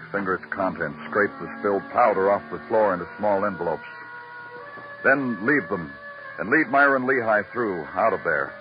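An actor playing a world-weary New York police detective in a vintage radio noir, with terse, moody, poetic delivery. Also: male voice, lowish voice, close to an everyday voice. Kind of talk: 1940s-50s radio noir narration and acting. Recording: muffled vintage radio broadcast.

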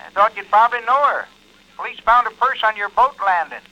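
A folksy, plain-spoken man on the other end of a telephone in an old radio play. His voice is high and very sharp through the filter. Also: lively intonation, fairly quick, highs cut off, exaggerated voice. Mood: matter-of-fact, sympathetic. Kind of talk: old-time radio drama acting.

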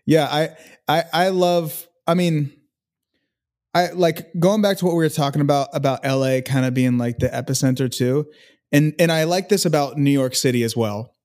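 A man's voice: fairly high pitch, fairly even intonation, normal speed, darkish tone. Rambling young man full of "like" and "dude". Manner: rambling, filler-heavy, says "dude" and "like". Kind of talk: casual chat.

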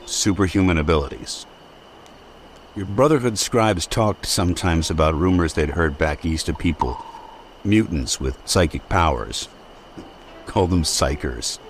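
An actor playing an earnest, dry, slightly self-pitying companion in a radio detective drama. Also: male voice, deep voice, animated, a little slow, soft background music. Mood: matter-of-fact. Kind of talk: old-time radio drama acting.

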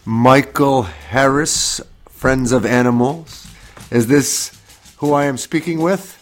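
A man's voice: mid-range voice, slow. A man hosting a radio interview with a slight cold and a nasal tone, introducing his guest politely in an announcer style. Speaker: radio show host.

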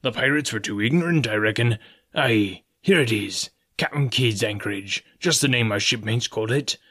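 A man's voice: medium pitch, moderate pace, sharp tone. A man voicing a genial, sly old one-legged pirate sailor in a storybook, with folksy nautical talk and an ingratiating manner. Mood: deferential, sly.

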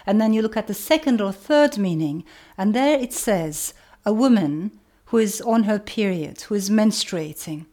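A middle-aged woman novelist, Turkish as her first language, speaking in a measured, earnest way, repeating phrases for emphasis. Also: medium pitch, animated, mellow. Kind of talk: reflective talk to camera.

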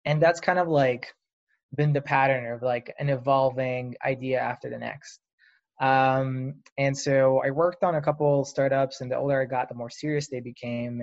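A man from Lebanon, a startup founder, answering interview questions in an unhurried, reflective way with frequent fillers. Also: mid-range voice, a little mellow. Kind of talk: casual podcast interview. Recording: dull lo-fi audio.

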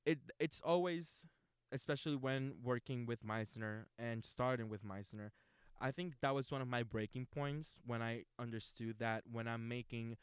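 A man hosting a relaxed talk about acting technique, rambling a little with restarts as he thinks aloud. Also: medium pitch, normal speed, smooth, dry recording. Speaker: host.

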